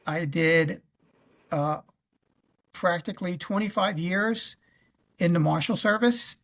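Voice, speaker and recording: A man in his fifties with a New York accent and a notably creaky, gravelly voice. He talks about his working life as an interview guest, in halting phrases with frequent pauses, from a room on a video call. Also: sits high, fairly bright tone. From Queens, New York. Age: 50s. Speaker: guest, retired federal law officer and navy veteran. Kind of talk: recounting career in an interview.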